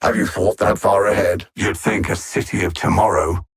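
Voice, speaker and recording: A man voicing a cold, arrogant alien conqueror in a video game, articulate, theatrical and condescending, with a husky voice. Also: low-pitched, fairly even intonation, moderate pace. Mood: cold disdain. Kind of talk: video game villain voice lines.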